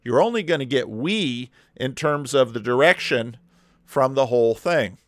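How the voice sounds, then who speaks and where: A man commenting on a video clip, talking in animated rhetorical questions with slow, deliberate emphasis. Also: medium pitch, no room sound.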